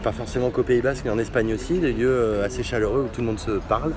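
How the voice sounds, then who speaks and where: A middle-aged man who owns a bar, answering interview questions in a casual, hesitant way with many pauses and fillers. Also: mid-range voice, steady tone, crowd noise. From France. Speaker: bar owner.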